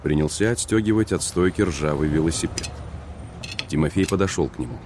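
Deep-voiced male narrator. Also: fairly quick, background noise. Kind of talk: scripted narration.

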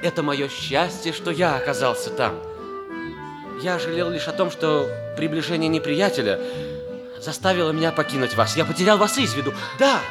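A gallant young lieutenant, played by an actor in a vintage radio comedy. He speaks ardently and earnestly, his pitch rising and falling widely. Music can be heard clearly under him. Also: expressive, moderate pace, close to an everyday voice. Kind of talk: 1960s radio theatre acting.

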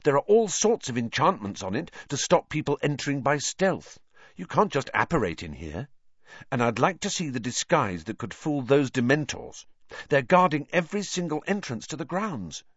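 A man's voice: mid-range voice, very animated, moderate pace, a bit bright, breathy edge, no echo, dull audio, voice pushed up. A man voicing an anxious, bossy schoolgirl who lectures crossly in an audiobook. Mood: cross lecturing. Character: young girl, anxious, bossy know-it-all.

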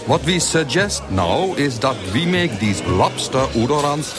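An actor playing a talkative, explanatory scientist at a drinks party in a radio play. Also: male voice, mid-range voice, very animated, a little slow, over loud music. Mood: jovial, explaining.

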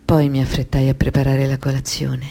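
Radio drama: wounded, haunted woman survivor; deep female register, husky grain, intense and deliberate. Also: monotone, dark tone, exaggerated voice. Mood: cold resolve. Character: anguished woman abuse survivor recounting her trauma. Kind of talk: dramatic radio monologue, literary narration.

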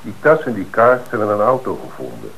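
A man's voice: lowish voice, sing-song, a little fast, gravelly, a bit bright, slight background noise, heavily acted, low-quality recording. An actor playing a blunt, sarcastic male police detective who presses hard in a Dutch radio play. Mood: mocking, pressing.

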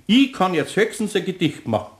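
A man's voice: high voice, very animated, brisk. An actor playing a good-natured, grumbling older Bavarian craftsman in a children's radio play, folksy and teasing.

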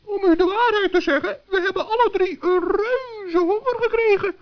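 A man's voice: very high-pitched, moderate pace, voice pushed up. A man voicing a little forest gnome, polite, earnest and hesitant, in a children's radio play. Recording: muffled old sixties recording.

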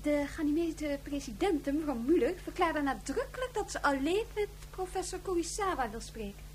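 A polite, formal communications assistant in a radio play. Her very high voice delivers official messages apologetically, with faint noise. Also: lively intonation, normal speed. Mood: formal, apologetic. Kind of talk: Dutch radio drama acting.

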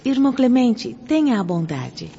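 Lady acting gentle formal spirit guide sister in a radio play, soft dark tone, big echoey hall. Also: on the high side, sing-song, moderate pace, vocal fry. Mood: courteous, instructive. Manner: courteous, instructive, formal. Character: Sister Rosália, gentle authoritative female spirit-world guide. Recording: muffled, reverberant hall, noisy radio-drama recording.

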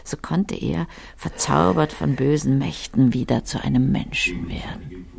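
Low, slow, brooding woman. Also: expressive, vocal fry, crowd noise, exaggerated voice. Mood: storytelling. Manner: musing in fragments, poetic, hushed at times. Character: brooding, wistful woman translator, long married, lost in words. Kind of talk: literary radio play monologue.

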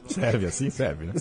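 A man with a Brazilian accent co-hosting live radio, bantering playfully. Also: medium pitch. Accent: Brazilian Portuguese. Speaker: radio co-host. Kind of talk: casual live radio chat.

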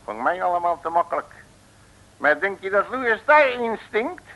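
A man's voice: high voice, very animated, normal speed, highs cut off. A man playing a brash, cocky, boastful detective in a 1950s radio serial, bossy and full of colourful folksy slang. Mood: excited, boastful. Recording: old radio recording.

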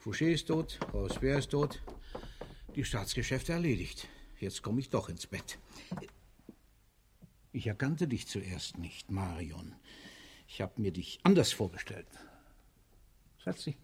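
An actor playing a sardonic, commanding party leader in a radio drama, moving between barked orders and dry muttered remarks. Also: male voice, lowish voice, sing-song, measured pace, long pauses, noisy background. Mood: low-key, wry.

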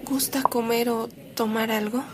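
An actress playing a gentle, devout, hospitable woman, speaking softly and politely in a radio drama. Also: on the high side, steady tone, unhurried, background noise, lightly acted. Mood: gentle, moved.

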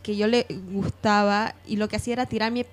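A woman's voice: mid-range voice, flat delivery, brisk. A woman with a Bolivian Spanish accent in an informal on-air discussion, feeling out her ideas with repeated words and hesitations. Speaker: radio discussion panelist.

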